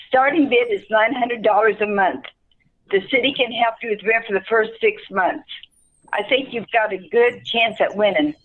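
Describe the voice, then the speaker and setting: A woman's voice: mid-range voice, phone-quality sound, natural voice. A woman playing a tired, impersonal city caseworker in an amateur radio play, brusque and dismissive.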